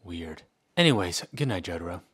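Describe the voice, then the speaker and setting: A man acting out comic dub characters theatrically, moving between deadpan delivery and exclamation. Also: sits low, brisk, husky.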